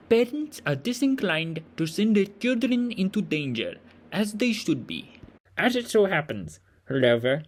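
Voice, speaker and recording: An actor playing a kindly, earnest old mentor in a family audio drama, speaking in formal, deliberate phrases. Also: medium pitch, a little mellow. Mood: earnest, resolved.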